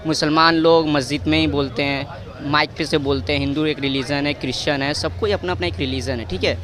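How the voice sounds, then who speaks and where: A man's voice: fairly high pitch, steady tone, brisk, a crowd in the background. A young man with a Bihari accent in a street interview, hesitantly groping for words and mixing in English. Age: young adult. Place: outdoor street. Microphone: handheld reporter microphone.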